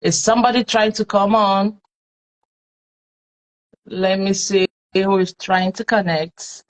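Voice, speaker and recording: A woman's voice: mid-range voice, fairly even intonation, slow, poor audio. A Nigerian woman hosting a live-streamed talk show, chatting with followers and leaning on plenty of fillers. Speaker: host.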